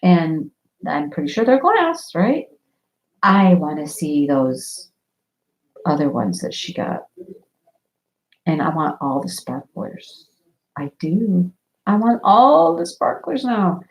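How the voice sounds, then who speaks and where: A woman talks in a rambling, easygoing way while she works on a craft project. Her voice sits a little low, and her nose is stuffy and sniffly from a cold. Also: big pitch swings, slow, long pauses, a little mellow, rough recording. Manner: rambling, chatty, laughs often. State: stuffy nose from a sinus infection. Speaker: diamond painting livestream host. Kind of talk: casual chat while crafting.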